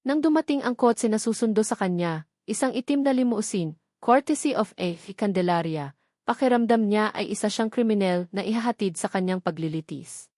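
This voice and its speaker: A woman narrating a romance story in a measured, dramatic storytelling manner. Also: mid-range voice, animated, darkish tone, dry recording, studio quality. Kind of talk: scripted storytelling.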